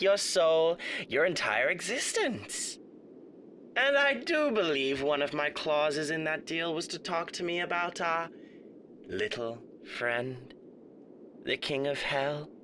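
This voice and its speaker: A man's voice: mid-range voice, pushed and bright, a little hiss or hum, heavily acted. A man voicing a suave, menacing radio demon in a theatrical roleplay monologue, mock-polite, pompous and taunting. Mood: smug.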